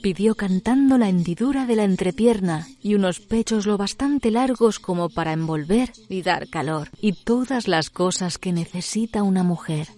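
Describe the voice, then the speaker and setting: A woman narrating a literary tale as a storyteller, in a measured, dramatic scripted delivery. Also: medium pitch, mellow.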